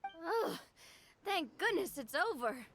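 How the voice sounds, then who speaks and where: A woman's voice: very high voice, sing-song, slow pace, a bit bright, heavily acted. A woman playing a spirited young female adventurer in a video game, speaking expressively and theatrically. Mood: relieved.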